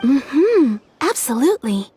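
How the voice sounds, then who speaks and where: Polite shop lady tailor, high lively voice, explains things, anime game, soft music. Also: slow pace, background noise, full character voice. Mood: obliging, pleased. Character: courteous woman tailor shopkeeper, businesslike and obliging.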